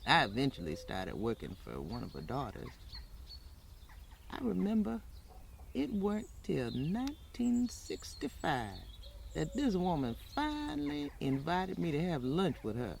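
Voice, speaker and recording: An actress plays an elderly Southern woman recalling her life in a radio-style drama. Her voice swings widely in pitch, with a gravelly creak. She is folksy and musing, over faint noise with birdsong. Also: huge pitch swings, full character voice. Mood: reflective. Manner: halting, folksy, colloquial, musing. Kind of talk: old-time radio style dramatized first-person storytelling.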